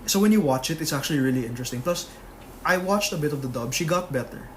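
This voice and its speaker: A man's voice: on the high side, lively intonation, fast. Chatty man podcast host, faint noise. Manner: chatty, rambling with fillers. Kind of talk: casual chat.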